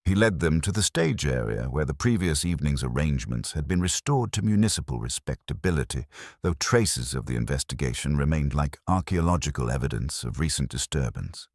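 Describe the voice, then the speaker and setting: A man reading scripted mystery fiction in a measured, formal storytelling manner. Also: extremely low pitch, lively intonation, a little mellow, no echo, clean studio sound. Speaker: audiobook narrator. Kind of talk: scripted literary mystery narration.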